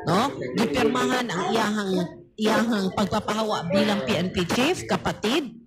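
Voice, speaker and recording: A woman's voice: medium pitch, normal speed. A woman with a Davao Bisaya Filipino accent giving informal, opinionated news commentary on the radio. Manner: informal, opinionated, addresses co-anchor. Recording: FM radio broadcast under loud music. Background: loud music bed.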